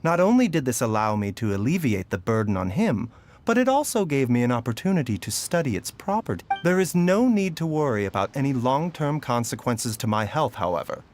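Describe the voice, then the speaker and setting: An actor playing a calm, composed male doctor in a game cutscene, speaking in a measured, polite, explanatory way. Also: mid-range voice, slight background noise, close to an everyday voice. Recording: game audio with music behind.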